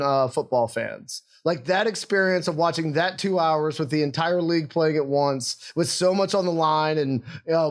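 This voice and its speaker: A man in his thirties or forties co-hosting a casual sports podcast, talking in an energetic, emphatic way. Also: on the high side. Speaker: podcast co-host.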